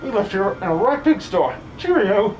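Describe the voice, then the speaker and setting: A man voicing a boastful but cowardly muscle-bound miner with rough, cheery working-class speech in a video game fan dub. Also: sits high, very animated, moderate pace, faint music behind, low-quality recording. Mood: relieved, cheery.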